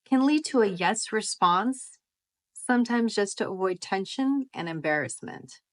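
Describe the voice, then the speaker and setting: Woman, friendly explainer, average pitch, scripted vlog talk. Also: expressive, measured pace. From United States. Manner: friendly, explanatory, rhetorical questions. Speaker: vlogger.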